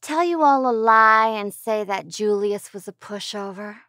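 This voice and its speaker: A woman's voice: medium pitch, a little slow, bright, forceful tone, dry recording, studio quality. A woman playing a commanding, scheming villainess who speaks with theatrical condescension to her minions.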